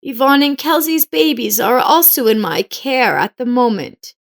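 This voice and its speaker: A caring elderly woman neighbor, acted out by a female narrator in a dramatic audio story. Her voice is pitched high, and she speaks with earnest, sorrowful emphasis. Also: expressive, measured pace, creaky, heavily acted. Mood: concerned.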